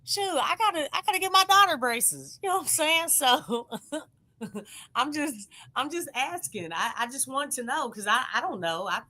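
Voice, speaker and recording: Bright-voiced American woman chatting sports. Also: sits high, expressive, moderate pace. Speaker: sports show co-host. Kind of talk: casual live sports chat.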